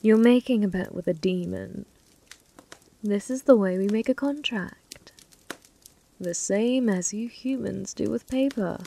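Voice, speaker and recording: A woman voicing a flirty, possessive female demon bartender in an intimate ASMR roleplay, teasing and unhurried, sometimes slipping into whispers. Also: mid-range voice, gravelly, warm tone. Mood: taunting, seductive.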